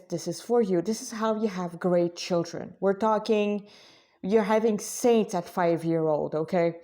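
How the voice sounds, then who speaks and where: Female spiritual vlogger, soft-edged mellow tone, mid pitch, conversational, stop-and-start delivery with self-corrections. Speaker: religious vlogger, Krishna devotee. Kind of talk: informal spiritual talk to listeners.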